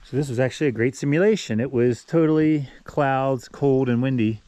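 A man's voice: mid-range voice, fairly quick, mellow, no echo. A man in his forties casually narrating a hike to an action camera held at arm's length outdoors. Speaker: vlogger on an adventure racing team. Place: outdoors on a windy mountain trail, sometimes inside a small shelter.

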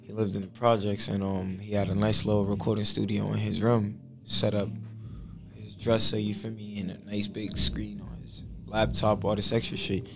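Gravelly young rapper, laid-back. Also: male voice, fairly low pitch, little pitch movement, moderate pace, over music. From Boston. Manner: laid-back slangy storytelling. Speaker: rapper guest. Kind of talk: casual podcast interview. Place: small studio with purple backdrop. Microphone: studio mic on boom arm.

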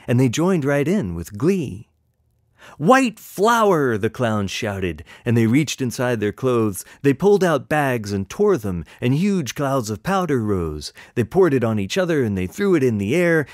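A man reading a picture book in rhyming verse as its author, with expressive, rhythmic delivery. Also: medium pitch, dry recording, studio quality.

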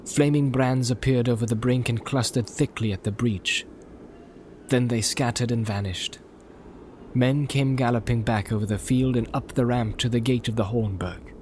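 A man narrating a fantasy novel in a measured, solemn, dramatic reading style. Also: mid-range voice, fairly even intonation, a little mellow, slight background noise. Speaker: audiobook narrator.

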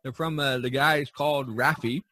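A man hosting a casual podcast over a rough internet-call connection. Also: medium pitch, steady tone, normal speed, sharp tone, dry recording. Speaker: podcast host. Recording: poor internet-call audio, faint music.

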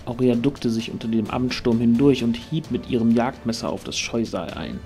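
A man's voice: medium pitch, moderate pace, dark tone, soft background music. A man reading scripted narration for a fantasy audio drama.

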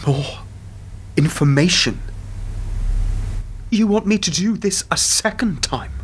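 Radio drama: weary male intelligence insider acted by a man, guarded, slightly high-set voice, very unhurried. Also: lively intonation, roomy sound, far from the mic, a little hiss or hum. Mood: indignant.

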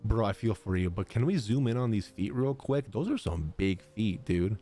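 Man, thirties, gaming streamer, slangy reaction commentary, very expressive pitch, close mic, soft game music behind. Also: moderate pace. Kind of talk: casual live reaction commentary. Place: small indoor gaming room. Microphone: close desk microphone, headset on.

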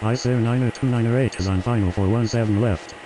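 Man on radio, loud background noise. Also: mid-range voice, fairly even intonation, fast. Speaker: air traffic radio voice in a game. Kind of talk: clipped scripted aviation radio phraseology.

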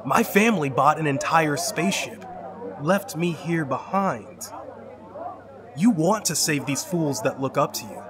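A man's voice: on the high side, lively intonation, unhurried, a crowd in the background. A man playing a desperate, abandoned young heir in an apocalyptic sci-fi audio drama, pleading and emphatic. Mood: persuasive. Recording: dramatic music underneath.

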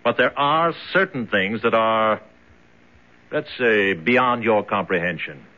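An actor playing a cold captor in charge in a radio drama, firm and patronizing. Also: male voice, lowish voice, expressive, takes it slowly, bright, forceful tone, full character voice. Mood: condescending. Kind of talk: 1970s radio drama acting. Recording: dull vintage radio recording.

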